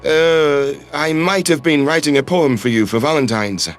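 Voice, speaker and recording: A man's voice: fairly high pitch, normal speed, faint music behind, voice pushed down, lightly acted. A man voicing a playful, romantic human ambassador who speaks with shy self-deprecation in a sci-fi audio story. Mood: romantic, bashful.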